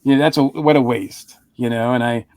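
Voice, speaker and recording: A man's voice: medium pitch, fairly even intonation, normal speed, a little mellow, dry recording. A man co-hosting a casual podcast review chat, rambling with frequent restarts and chuckles. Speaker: podcast co-host.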